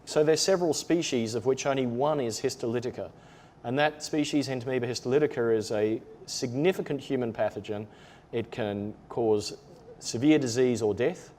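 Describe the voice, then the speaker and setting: An Australian man talks through a training webinar for laboratory staff. He explains things step by step in a measured, patient way, with the odd um along the way. His voice sits at an ordinary middle pitch for a man. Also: a little mellow, slight background noise. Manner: explanatory, measured, with occasional ums. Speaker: lab trainer presenting a webinar. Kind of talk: webinar lecture.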